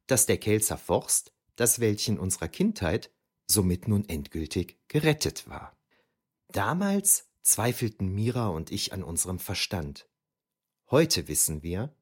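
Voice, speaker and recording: An actor playing a shaken eyewitness, narrating an audio drama in the first person with deliberate, suspenseful emphasis. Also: male voice, fairly low pitch, husky, exaggerated voice. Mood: haunted, suspenseful. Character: shaken eyewitness recounting an eerie forest battle.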